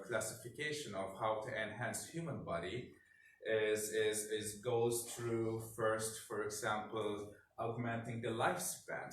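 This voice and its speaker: A man with a non-native English accent giving a conference presentation as a researcher, in a halting manner. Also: lowish voice, a bit bright. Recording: echoey room, distant mic, noisy.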